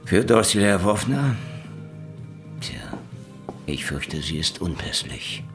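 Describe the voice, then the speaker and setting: An actor playing a hard-boiled, world-weary private detective in a German radio play, dry and laconic in manner. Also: male voice, sits low, very animated, slow, over music, full character voice. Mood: dry, insistent. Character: sardonic hard-boiled male private detective in the Soviet Union. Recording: radio play with clear ambient noise.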